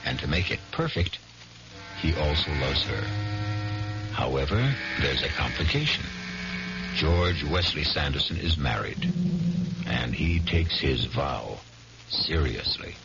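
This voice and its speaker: A man narrates a vintage radio drama as its host. His voice is extremely deep and gravelly, with a muffled broadcast sound. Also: sing-song, a little slow, long pauses, over loud music.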